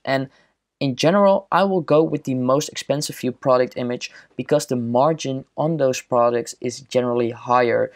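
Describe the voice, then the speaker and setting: A man walking through a software setup step by step in a screen-recorded tutorial, steady and explanatory. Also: medium pitch.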